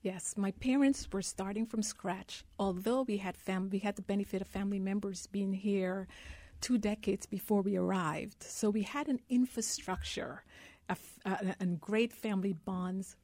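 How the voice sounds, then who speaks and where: Warm-voiced woman from Guyana, heartfelt. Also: mid-range voice, normal speed, dry recording. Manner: earnest, heartfelt storytelling. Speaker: guest. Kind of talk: interview.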